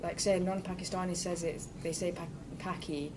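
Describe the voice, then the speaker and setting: Lower-voiced young woman, chatty and laughing. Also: fairly even intonation, fairly quick. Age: young adult. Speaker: sixth form student. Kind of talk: candid group discussion. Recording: distant mic with noise.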